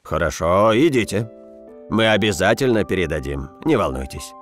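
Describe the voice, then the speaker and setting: A man playing a kindly, obliging grandfather in a dubbed children's cartoon, with a gentle, expressive delivery. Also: sits low, moderate pace, faint music behind. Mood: helpful.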